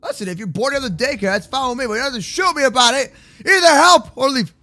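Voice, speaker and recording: A young man doing excitable, playful gaming roleplay commentary for children, slangy and exclamatory. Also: very high voice, quick pace. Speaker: host of a gaming roleplay channel. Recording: faint music behind the voice. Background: faint music.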